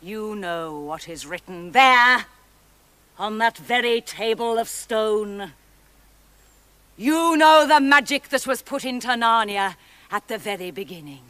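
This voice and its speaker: A woman's voice: lively intonation, sharp tone, no room sound, heavily acted. A woman playing an imperious, menacing witch queen in a fantasy drama, with theatrical, declamatory delivery. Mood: cold, accusing. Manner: theatrical, declamatory, slow, swelling to shrill outbursts.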